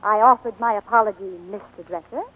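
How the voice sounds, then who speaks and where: Actress as poised, sharp-tongued stage star, tinny radio. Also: female voice, mid-range voice, brisk, close to an everyday voice. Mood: haughty, teasing. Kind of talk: 1940s radio acting. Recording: tinny 1940s radio broadcast.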